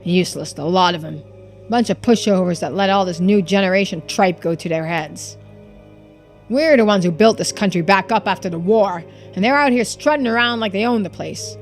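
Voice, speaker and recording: A woman's voice: mid-range voice, normal speed, faint music behind, natural voice. A woman voicing a cantankerous, dismissive old male tycoon from a video game, with blunt, folksy old-timer phrasing. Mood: scornful.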